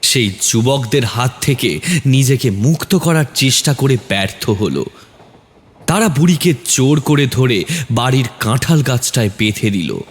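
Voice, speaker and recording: A man's voice: mid-range voice, animated, measured pace. A man narrating a scripted horror story as a storyteller. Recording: long reverb on the voice.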